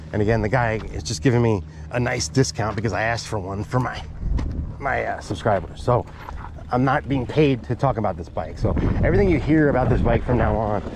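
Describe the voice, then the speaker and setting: A man vlogging excitedly while riding an ebike on dirt hills, talking into a chest-mounted action camera, rambling and swearing casually. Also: on the low side. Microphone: GoPro chest mount camera. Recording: loud wind-buffeted action camera audio. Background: loud wind noise on the mic.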